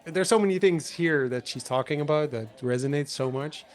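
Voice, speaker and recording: A man co-hosting a podcast in casual chat, rambling and animated, restarting his sentences and laughing. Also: on the high side, normal speed. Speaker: podcast co-host.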